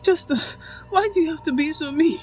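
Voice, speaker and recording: A man's voice: extremely high pitch, a little fast, a little mellow, faint music behind, voice pushed up. A man voicing a protective, scheming mother in a spoken horror story, pleading and then defiant toward an angry crowd.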